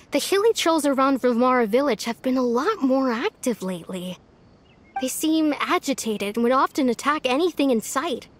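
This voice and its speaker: High-voiced young villager. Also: female voice, slight background noise. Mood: concerned. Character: polite, earnest young village woman. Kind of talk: anime-style video game voice acting.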